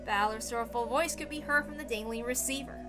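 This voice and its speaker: A woman's voice: a little high, fast talker, over music. A woman narrating a visual novel as a dramatic scripted reading.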